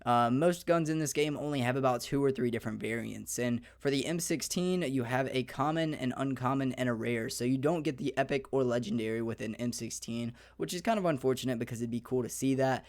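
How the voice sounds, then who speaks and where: A young man hosting a gaming channel, slightly hoarse, talking through a beginner's game guide in an upbeat, chatty way with many fillers. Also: mid-range voice, brisk, few pauses. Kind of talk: casual gaming guide talk.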